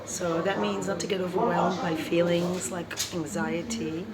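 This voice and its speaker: A woman with a loud voice reading and talking casually about recovery, laughing between points. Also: lowish voice, level tone, measured pace, a loud crowd. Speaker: recovery vlogger. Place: coffee shop. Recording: loud music in a coffee shop.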